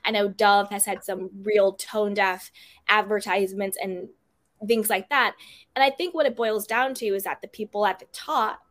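An upbeat woman hosts a relaxed podcast chat. She talks loosely and warmly, with lots of "like" and "you know" as she works toward her questions. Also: mid-range voice, normal speed. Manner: chatty, upbeat, many fillers like "like" and "you know". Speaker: podcast host. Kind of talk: casual interview chat.

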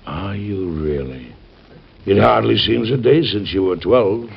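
Gravelly, stern American father. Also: lowish voice, lively intonation, unhurried, full character voice. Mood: weary, fond. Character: stern, protective ailing father and president. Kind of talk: 1940s-50s radio drama acting. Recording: dull old radio transcription recording.

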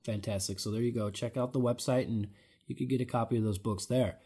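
A man's voice: mid-range voice, fairly even intonation, fairly quick, dark tone, husky. A man co-hosting a podcast, upbeat as he reads out listener questions and plugs a website. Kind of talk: casual interview chat.

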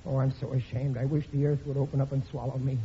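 An actor playing a blundering, guilt-ridden working-class husband in 1940s radio comedy, flustered and plaintive with a stammer. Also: male voice, medium pitch, little pitch movement, quick pace, lightly acted. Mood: miserable, ashamed. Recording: muffled, noisy old radio recording.

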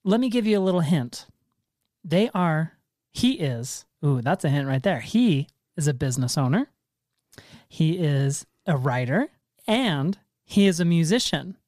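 A man's voice: fairly high pitch, expressive, a little slow, darkish tone, dry recording, studio quality. A man hosting a casual podcast with his father, talking in a playful, jokey way. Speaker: podcast host. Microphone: handheld recorder.

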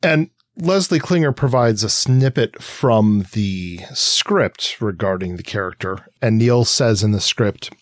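A man's voice: lowish voice, a little slow, hardly any pauses, dry recording, studio quality. A man co-hosting a podcast, discussing comics analytically in a wordy, think-aloud way. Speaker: podcast co-host.

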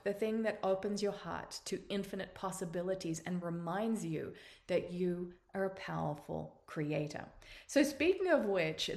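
An Australian woman in her forties hosts a motivational podcast, speaking in a somewhat low voice. She talks earnestly and encouragingly, stretching out her phrases as she speaks straight to her listeners. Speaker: podcast host. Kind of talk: motivational monologue.

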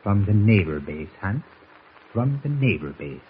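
An actor playing a domineering, villainous German spy doctor with a precise, condescending manner, in 1940s radio style. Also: male voice, on the low side, big pitch swings, a little slow. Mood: smug, conspiratorial. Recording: muffled noisy vintage radio recording.

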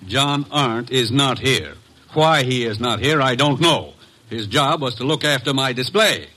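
An actor playing a hard, vindictive rich uncle, curt and scornful, in 1950s radio drama style. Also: male voice, mid-range voice, animated, measured pace, sharp tone. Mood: cold scorn.

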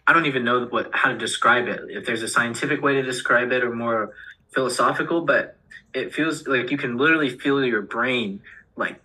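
A young college-aged man chats easily on a podcast over a remote call, hesitating and filling pauses as he goes. His voice is very bright and edgy, with a husky grain. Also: mid-range voice, rough recording. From United States. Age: young adult. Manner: easygoing, hesitant, many fillers. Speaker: podcast guest, college student. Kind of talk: casual podcast chat.

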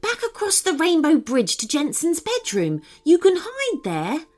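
A woman's voice: very high-pitched, wildly swooping pitch, unhurried, soft background music, pitched above the performer's own voice, heavily acted. A woman voicing an alert, caring talking toy in a children's story, earnest and concerned.

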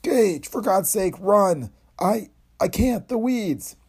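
A man's voice: on the low side, sing-song, fast, darkish tone, breathy edge. A man voicing a grim, urgent professor in an audiobook, with a dramatic manner. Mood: strained desperation. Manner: dramatic, alarmed exclamations. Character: grim, urgent male professor facing catastrophe.

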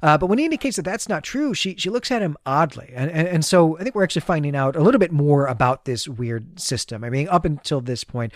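A man's voice: medium pitch, no room sound, studio quality. A man co-hosting a podcast, talking through a story conversationally and thinking aloud, often repeating words as he works out his point. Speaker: podcast co-host. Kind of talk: conversational literary discussion and story recap.

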